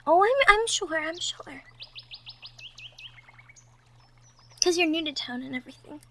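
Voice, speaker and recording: A woman playing a shy, sweet young cottagecore woman in love, speaking softly and hesitantly in an intimate roleplay audio drama. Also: very high voice, animated, fairly quick, exaggerated voice. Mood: flustered, bashful. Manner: hesitant, bashful, soft-spoken, trailing off. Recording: faint background noise, birdsong effects. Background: birdsong, outdoor nature sound effects.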